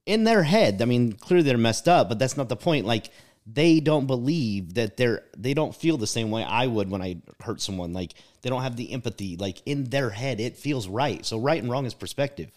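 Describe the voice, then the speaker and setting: A man's voice: medium pitch, lively intonation, normal speed, a little mellow. Reflective, emphatic man on a podcast. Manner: reflective and emphatic, leans on "like" and "right?". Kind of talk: casual podcast conversation.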